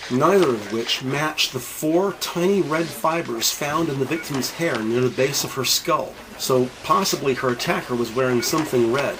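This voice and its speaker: A man's voice: mid-range voice, noisy background. A man narrating a scripted crime audio drama in the present tense.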